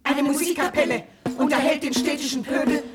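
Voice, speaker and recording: A woman's voice: high-pitched, fairly even intonation, brisk. A woman giving emphatic, declamatory narration in a radio play. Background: loud music.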